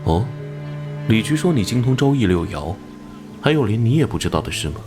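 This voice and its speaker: A gruff male police captain from an animated crime drama. His voice sits low and his manner is curt and businesslike. Faint music plays behind him. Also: a little fast. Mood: probing. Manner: brusque, businesslike. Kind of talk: Chinese animated drama acting.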